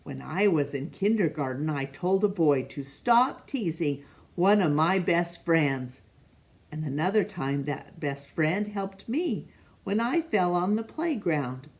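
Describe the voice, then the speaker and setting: A woman reading a picture book aloud to children, expressive and pausing to ask young listeners questions. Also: lowish voice. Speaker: storytime reader.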